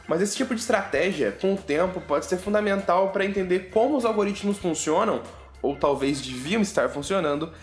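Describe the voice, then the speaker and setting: Man, Brazilian accent, high voice, chatty news podcast host, roomy echo, faint music behind. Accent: Brazilian Portuguese. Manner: chatty, with joking asides to listeners. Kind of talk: casual science news podcast.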